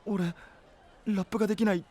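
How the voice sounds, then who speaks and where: An actor playing a naive, excitable young male traveling knight in an anime voice drama, with a boyish, wide-eyed manner. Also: high-pitched, fast. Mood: flustered, amazed.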